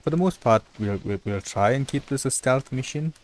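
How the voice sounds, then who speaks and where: A man giving casual live game commentary in a halting way, often repeating his words. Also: mid-range voice, expressive. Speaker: gameplay commentator. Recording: clear background noise.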